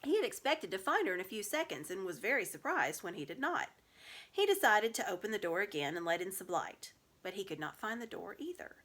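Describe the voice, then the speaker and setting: Female storybook reader, expressive read-aloud, medium pitch with very lively intonation. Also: fairly quick.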